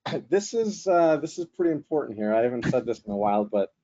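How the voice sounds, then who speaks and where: A man hosts a webinar over a video call, chatty and joking, with lots of fillers along the way. His voice sits mellow and dark. The audio comes through slightly muffled. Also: mid-range voice, very animated, fast talker. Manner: joking, rambling with frequent fillers. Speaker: webinar host and moderator. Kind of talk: casual, chatty webinar hosting.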